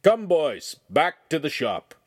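Man playing a booming, teasing master craftsman. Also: big pitch swings, slow, husky, no room sound, clean studio sound, heavily acted. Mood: teasing scolding. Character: big jovial teasing master craftsman, gruff father figure.

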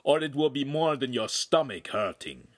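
A man voicing a big, gruff Italian master craftsman who scolds and commands loudly in an audiobook story. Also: mid-range voice, animated, moderate pace, pitched above the performer's own voice, lightly acted. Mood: angry.

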